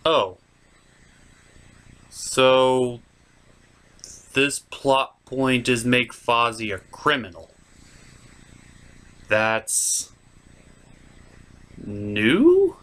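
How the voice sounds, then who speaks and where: A man's voice: mid-range voice, big pitch swings, drawn-out words, long pauses, a bit bright. A man hosting a scripted comedic review, with theatrical, exasperated reactions. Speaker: host of a review show.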